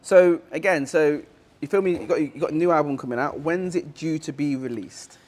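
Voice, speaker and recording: Man, podcast host, teasing, brash, fairly high, quick. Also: a little mellow. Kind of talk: casual podcast chat.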